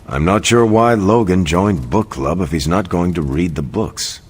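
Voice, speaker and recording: A man playing a modest veteran soldier superhero in a video game, speaking in a measured, sincere, plain-spoken way with music behind him. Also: deep voice, animated, slightly rough, full character voice. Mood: casual. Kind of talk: video game cutscene acting. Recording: background music under the voice.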